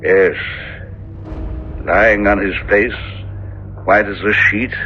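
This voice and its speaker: An older man playing a gentle, uneasy minister father in a 1970s radio drama, halting and solemn. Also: on the low side, fairly even intonation, slight background noise. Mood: haunted, solemn. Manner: halting, solemn, deliberate phrasing. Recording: dull old radio recording with music.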